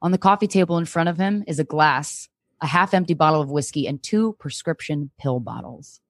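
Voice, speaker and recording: A woman's voice: sits low, brisk, dry recording. Woman with close, dry-sounding voice. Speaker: guest reader, comedian. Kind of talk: casual chat and reading screenplay directions.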